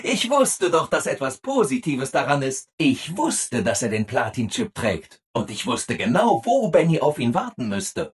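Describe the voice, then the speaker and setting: A man's voice: mid-range voice, husky. A man playing a relentlessly cheerful, obliging robot assistant in a video game, with an overeager, singsong delivery. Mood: smug, upbeat. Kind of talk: scripted video game dialogue.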